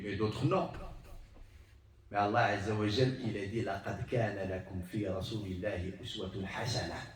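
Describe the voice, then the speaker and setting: Guy preaching in a mosque, really echoey, bit far from the mic, emphatic and repeats stuff. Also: on the low side. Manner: emphatic, rhetorical questions and deliberate repetition. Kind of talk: sermon in a mosque, teaching. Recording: roomy echo, distant microphone.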